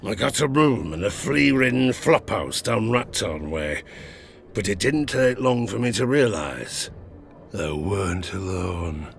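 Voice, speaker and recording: An actor playing a grizzled old sailor, gruffly telling children a dark bedtime tale in an audio drama. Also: male voice, fairly low pitch, expressive, a little hiss or hum. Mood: ominous, spellbinding. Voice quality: gravelly. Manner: theatrical, gruff, suspenseful storytelling with dramatic pauses.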